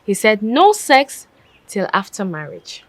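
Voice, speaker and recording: A woman's voice: medium pitch, big pitch swings, unhurried, no room sound. A young woman acting in a film drama as a coy, respectful Nigerian daughter. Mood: amused, proud. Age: young adult. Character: coy, respectful engaged daughter, reassuring her mother.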